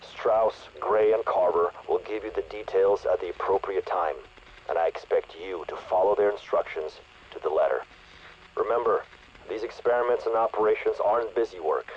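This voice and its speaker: A man's voice: sits low, normal speed. A man playing a stern, earnest special operations leader briefing troops over the radio in a game. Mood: stern, firm. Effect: radio transmission. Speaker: commanding officer. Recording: muffled radio with faint noise.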